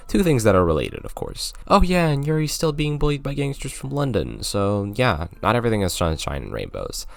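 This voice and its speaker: A man's voice: fairly low pitch, dry recording. A man narrating a scripted video game review, with sarcastic, joking asides.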